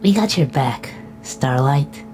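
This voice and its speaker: A woman's voice: low voice, expressive, slow, darkish tone, soft background music. A woman playing a sleepy, protective young knight who talks in a drowsy, affectionate way. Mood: tired. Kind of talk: casual roleplay dialogue.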